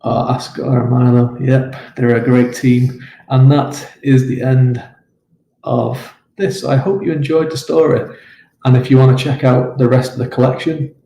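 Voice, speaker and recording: Male author hosting his own live stream from home: low-key, hesitant and chatty, with a dark, gravelly tone in a roomy space. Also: little pitch movement, measured pace, a little hiss or hum. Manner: rambling and hesitant with many fillers. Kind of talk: casual live-stream chat answering comments. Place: home, with his dog nearby. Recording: roomy echo with faint ambience.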